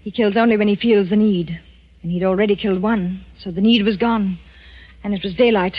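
An actress playing a frightened, tense wife in a 1940s radio suspense drama, speaking in a halting, dramatic way. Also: female voice, mid-range voice, steady tone, lightly acted. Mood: tense, grave. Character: frightened, tense wife of a murderous doctor in wartime France. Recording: dull old 1940s radio recording with music.

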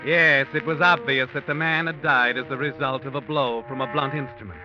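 Man acting an ominous narrator over loud music. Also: mid-range voice, steady tone, a little fast, lightly acted. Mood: grim, suspenseful. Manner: theatrical, foreboding. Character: mysterious, ominous night-walking man who narrates crime tales. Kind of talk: dramatic 1940s radio narration. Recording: muffled vintage radio, loud music behind.

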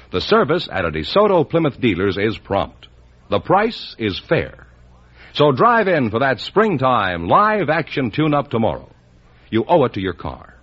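Animated American radio pitchman, husky vintage sound. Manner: emphatic salesman delivery. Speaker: commercial announcer. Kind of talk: 1950s radio commercial, scripted pitch. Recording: muffled vintage radio broadcast.

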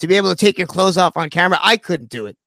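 A man's voice: high voice, animated, racing, gravelly, pushed and bright, no room sound, clean studio sound. A man hosting a radio show interview, chatting casually with crude, joking banter.

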